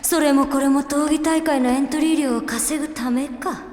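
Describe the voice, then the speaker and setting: A woman in a comedic Japanese audio drama playing a hot-blooded young arena fighter, rough and tomboyish, muttering to herself. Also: sits high, fairly even intonation, moderate pace, darkish tone, echoey room, long reverb tail, slight background noise, close to an everyday voice. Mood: weary, grumbling.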